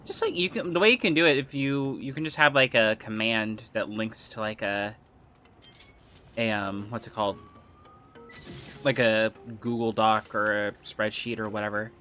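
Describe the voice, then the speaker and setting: A man's voice: mid-range voice, bright, forceful tone. A man chatting casually with viewers on a gaming livestream, rambling, trailing off and restarting, his throat a little phlegmy. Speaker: game streamer.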